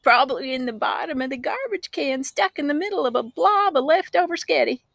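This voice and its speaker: A woman's voice: high voice, lively intonation, a little fast, vocal fry, a bit bright, no room sound, pitched above the performer's own voice, full character voice. A woman voicing a smug, taunting little country sister from a children's storybook, snippy, teasing and sing-song.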